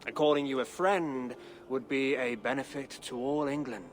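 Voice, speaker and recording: A man playing a thoughtful, pious English king in a video game, with a formal, regal and deliberate manner. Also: mid-range voice, steady tone, slight background noise, close to an everyday voice. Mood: earnest, persuasive. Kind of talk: dramatic video game acting. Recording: music under the voice.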